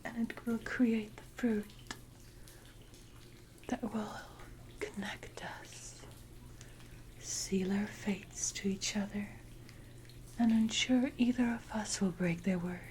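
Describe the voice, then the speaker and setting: A woman voicing a haughty, seductive and menacing siren in a breathy ASMR roleplay whisper, with deliberate, condescending delivery. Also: mid-range voice, fairly even intonation, a little mellow, natural voice. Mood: solemn, commanding. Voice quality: breathy, mostly unvoiced whisper. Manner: slow, deliberate, condescending, archaic phrasing. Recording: noisy ambience with water drips.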